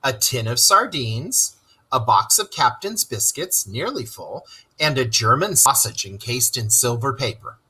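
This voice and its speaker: A storyteller giving an expressive, dramatic reading of a children's story. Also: medium pitch, unhurried, breathy edge, rough recording. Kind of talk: scripted narration, children's story read-aloud.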